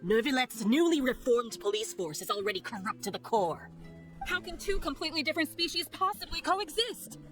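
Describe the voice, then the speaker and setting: Scornful townswoman, high and animated. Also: brisk, faint music behind. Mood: suspicious, scornful. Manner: scornful, accusing. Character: hostile, suspicious townswoman, scornful and accusing. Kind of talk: video game voice acting.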